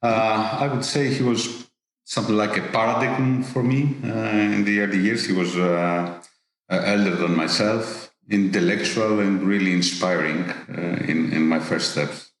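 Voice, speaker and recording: A middle-aged man with a Greek accent speaks in a low voice. He answers slowly and hesitantly, stumbling over repeated words and fillers. The recording sounds strongly reverberant, as in a bare room. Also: fairly even intonation. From Greece. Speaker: business executive guest. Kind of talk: interview answers.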